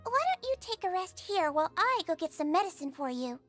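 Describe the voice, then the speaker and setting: A sweet little nurse from an anime game. Her voice is high and lilting, with a polite, soothing, attentive bedside manner over soft music. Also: sing-song, fairly quick, exaggerated voice. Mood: reassuring. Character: gentle, sweet, small female nurse creature, caring and attentive.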